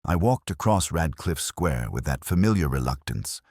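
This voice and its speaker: A man narrating a scripted first-person detective story in a measured, brooding, literary manner. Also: very deep voice, very animated, a little mellow, no room sound, studio quality.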